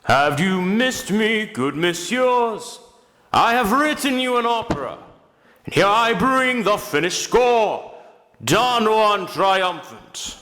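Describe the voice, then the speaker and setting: A brooding phantom of the opera house, acted by a man, commanding and bitter. His voice sits fairly high for a man and moves slowly, with every phrase weighed theatrically for an audio drama. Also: lively intonation, pushed and bright, heavily acted. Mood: taunting. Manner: slow, theatrical, deliberate delivery. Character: brooding, menacing masked male opera ghost, commanding and bitter. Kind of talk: amateur audio drama acting.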